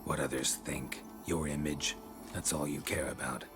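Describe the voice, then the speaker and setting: An actor playing a stoic veteran monster hunter in a video game, talking in terse, dry, sardonic lines. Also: male voice, extremely low pitch, fairly even intonation, normal speed. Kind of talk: video game cutscene acting. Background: game soundtrack music.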